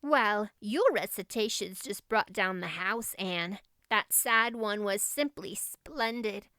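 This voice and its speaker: A woman's voice: medium pitch, animated, pushed and bright, smooth, no echo, clean studio sound. A woman voicing a practical, chatty young girl, a loyal friend, in an audiobook. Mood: admiring. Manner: matter-of-fact, brisk, emphatic. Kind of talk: audiobook character voice.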